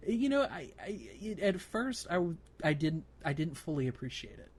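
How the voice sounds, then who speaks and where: A man hosting a casual gaming podcast, talking in a halting way as he thinks aloud. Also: on the high side, sing-song, slightly rough. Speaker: podcast host.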